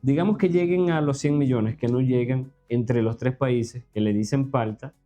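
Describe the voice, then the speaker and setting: A man's voice: medium pitch, moderate pace, mellow, faint music behind. A man in casual reaction chat, reasoning aloud with many tag questions. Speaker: co-host reacting to a video.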